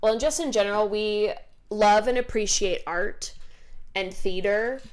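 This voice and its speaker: A young woman co-hosts a casual podcast, recalling family traditions. She talks in an easygoing, chatty way with plenty of "um"s and "like"s, at a moderate pitch. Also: a little slow. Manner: chatty, relaxed storytelling with many fillers. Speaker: podcast co-host. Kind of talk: casual chat.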